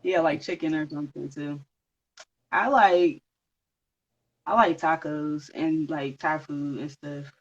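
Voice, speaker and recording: Podcast host, easygoing and agreeable; mid-pitched voice, slightly dull recording. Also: steady tone, slow, long pauses, a bit bright. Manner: easygoing, agreeable, laughs often. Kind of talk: casual interview questions.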